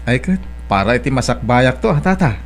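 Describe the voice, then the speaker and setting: A man's voice: mid-range voice, sing-song, a little fast, over music. A man playing a dutiful, hardworking young son with heartache in an Ilocano audio drama, polite and subdued.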